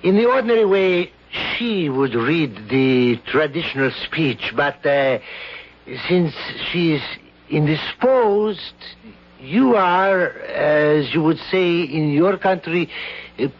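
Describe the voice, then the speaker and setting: An actor in a 1970s radio drama playing an evasive foreign court official who hesitates and hedges. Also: male voice, on the high side, expressive, takes it slowly. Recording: dull 1970s radio broadcast.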